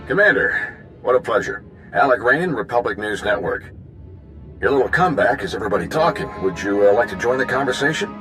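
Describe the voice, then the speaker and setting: Man playing a polished, lively galactic news host. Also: medium pitch, fairly quick, music in the background, close to an everyday voice. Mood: chummy, persuasive. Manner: polished, personable, showy. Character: smooth, chatty male galactic news reporter and show host. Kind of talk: video game voice acting.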